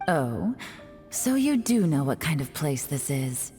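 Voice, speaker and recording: A woman playing an enigmatic female agent in an English video game dub, composed, teasing and quietly authoritative. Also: low-pitched, sing-song, slow, loud music behind the voice, exaggerated voice. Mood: cool, knowing. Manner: composed, coy, controlled.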